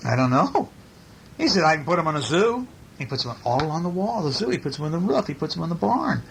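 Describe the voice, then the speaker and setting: Animated man reading playfully to small children. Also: a little high, fast talker, creaky, slight background noise. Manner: playful, with sound effects and questions to the children. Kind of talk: animated picture-book reading to toddlers, chatty.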